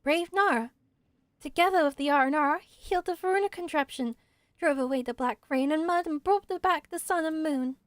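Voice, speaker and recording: Woman as a gentle high-voiced little forest spirit. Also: no room sound, clean studio sound, lightly acted. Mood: wondering. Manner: simple, measured, solemnly innocent phrasing. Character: small gentle earnest childlike forest spirit. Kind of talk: amateur video game character voicing.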